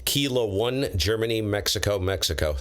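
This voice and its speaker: A man's voice: lowish voice, animated, moderate pace, a bit bright. A man running a ham radio livestream, mixing casual chat with radio calls. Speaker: amateur radio operator live-streaming a contest. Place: cold basement radio room.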